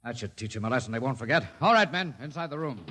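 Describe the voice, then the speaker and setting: An actor playing a hard, suspicious military lieutenant in 1950s radio drama, commanding and quick to bark orders. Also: male voice, mid-range voice, lively intonation, long reverb tail, loud music behind the voice, natural voice.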